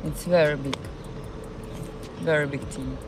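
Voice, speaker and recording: A woman with a Ukrainian accent talks slowly and unhurriedly into her phone, stopping often to search for words as she chats with her viewers. She is streaming outdoors in a city park. Clear outdoor noise runs behind her voice. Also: deep voice, fairly even intonation. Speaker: live-streaming vlogger. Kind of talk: casual live stream chat with viewers.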